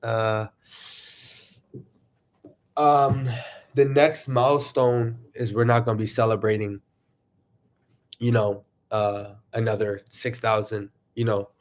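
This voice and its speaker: A man casually chatting to viewers on his livestream, rambling and excitable, sniffling from allergies. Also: on the low side, a little slow, pauses often. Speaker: YouTuber livestreaming.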